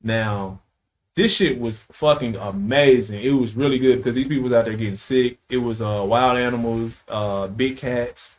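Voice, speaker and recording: A man's voice: medium pitch, moderate pace. A man hosting a casual podcast, telling a story with loose slang, profanity and plenty of fillers. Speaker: podcast host.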